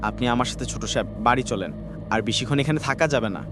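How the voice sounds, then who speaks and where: A man's voice: medium pitch, fast, noisy background, close to an everyday voice. A man voicing a worried, deferential village uncle in a cartoon horror story, anxious and questioning. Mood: anxious, urging. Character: worried, deferential village uncle looking after young master.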